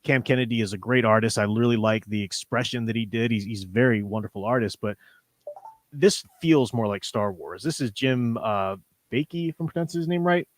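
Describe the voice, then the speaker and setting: A man's voice: medium pitch, a little fast, dry recording. Man chatting casually, dry close sound. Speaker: livestream co-host. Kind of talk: casual book and comics discussion.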